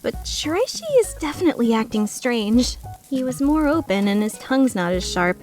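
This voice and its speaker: A woman's voice: fairly high pitch, expressive, moderate pace, a little mellow, faint music behind, lightly acted. A woman playing a flustered, skeptical young woman who narrates her own story introspectively in a fan-dubbed drama. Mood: embarrassed, wondering. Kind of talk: fan dub drama with first-person narration.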